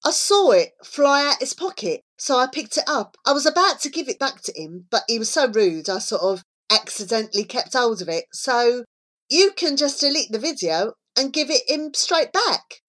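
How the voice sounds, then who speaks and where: A woman's voice: on the high side, animated, moderate pace, a bit bright, voice pushed up. A woman voicing a bold, matter-of-fact young schoolgirl with an earnest, direct manner in a children's story.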